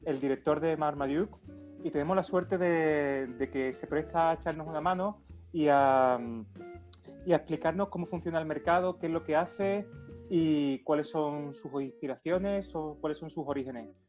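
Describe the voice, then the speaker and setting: A man's voice: fairly high pitch, normal speed, a little mellow. A male host opening an interview and asking long, rambling questions in a chatty way. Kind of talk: friendly interview hosting. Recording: dull lo-fi audio with faint music.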